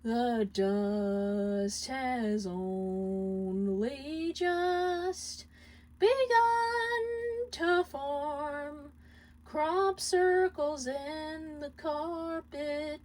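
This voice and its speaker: A fragile, sorrowful male imp acted out by a woman in a story reading. The voice is raised and very slow, broken and halting, slipping toward mournful singing. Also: high-pitched, animated, darkish tone, faint music behind, pitched above the performer's own voice, full character voice.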